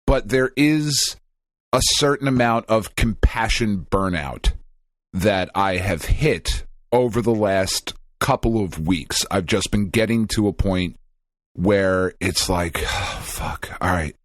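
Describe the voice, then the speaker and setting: A man's voice: lowish voice, takes it slowly, dry recording, clean studio sound. A man co-hosting a casual podcast, rambling and venting with lots of swearing and restarts. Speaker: podcast co-host.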